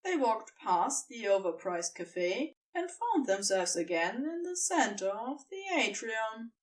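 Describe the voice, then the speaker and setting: Woman narrator, high animated voice, unhurried, scripted story reading, slightly distant mic. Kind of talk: expressive scripted fiction narration.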